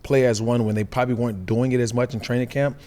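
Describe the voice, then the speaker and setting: A man's voice: medium pitch, fairly even intonation, fast, dark tone. A man co-hosting a sports podcast, asking long rambling questions. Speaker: co-host, former player.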